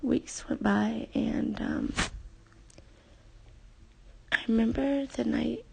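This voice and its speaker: Husky, creaky-voiced woman recounting memories. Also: a little high, warm tone, rough recording. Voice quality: husky, creaky, often dropping to a breathy near-whisper. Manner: halting, reflective, confessional. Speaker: personal storyteller addressing a former partner. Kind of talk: intimate spoken memoir, unscripted.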